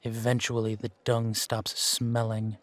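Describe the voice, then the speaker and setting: A man playing a gruff, world-weary fantasy monster hunter in an audio roleplay, wry and aloof, with a laconic, deadpan way of talking. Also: fairly low pitch, measured pace, close to an everyday voice. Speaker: voice actor in fan-made audio roleplay. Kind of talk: slow in-character audio roleplay.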